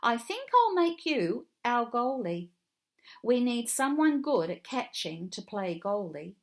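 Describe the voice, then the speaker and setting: A woman's voice: on the high side, sing-song, slow pace, full character voice. Soccer coach, acted by a woman reader, kindly, encouraging, firm, storybook.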